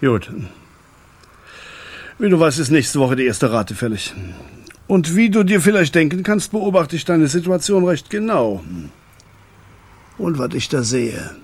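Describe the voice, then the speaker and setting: A paternal loan shark in a radio play, with a husky, fairly high voice that moves freely up and down. He is calm and condescending, wrapping threats in polite, measured phrases. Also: exaggerated voice. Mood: coolly menacing. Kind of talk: German radio drama acting.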